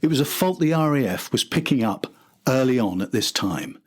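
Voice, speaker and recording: A man's voice: mid-range voice, expressive, normal speed, husky. An older man narrating a documentary from a script.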